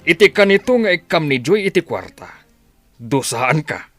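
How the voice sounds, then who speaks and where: A man's voice: a little high, big pitch swings, normal speed, a bit bright, no echo, soft background music. A man with an Ilocano accent playing a hot-headed, blustering village father in a radio comedy drama. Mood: angry, scolding. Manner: blustering, grumbling.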